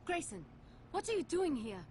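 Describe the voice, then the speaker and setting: Earnest, high-voiced young scientist. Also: female voice, very animated, normal speed, background noise. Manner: pleading, intense. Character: earnest, idealistic woman scientist, devoted daughter. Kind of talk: video game cutscene acting. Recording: game audio with music behind.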